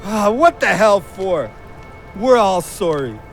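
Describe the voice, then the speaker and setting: A guilt-ridden young man in an audio play. His voice sits very high and he speaks slowly, in blunt, bitter slang, with music underneath. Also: sing-song, exaggerated voice. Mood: agitated, bitter. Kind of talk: audio drama acting.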